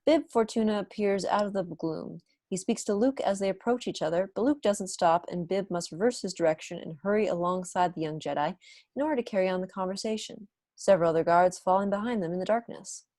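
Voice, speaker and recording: A woman's voice: mid-range voice, brisk, darkish tone, no room sound. Young woman narrating a script, dry sound. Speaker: narrator reading stage directions. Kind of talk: scripted narration at an online table read. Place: small room at home, indoors. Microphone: wired earphones on a video call.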